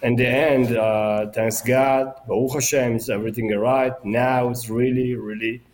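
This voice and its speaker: A man in his forties with an Ethiopian accent, a native Amharic speaker, answering in a remote interview in a halting way with many fillers. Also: mid-range voice, fairly even intonation, darkish tone. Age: 40s. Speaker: guest journalist and author.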